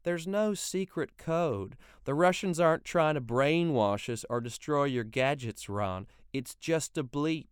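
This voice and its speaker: A man voicing a sharp-tongued, level-headed housewife in a read-aloud story, speaking higher, slow and pointed. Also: lively intonation, clean tone, dry recording, studio quality. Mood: impassioned.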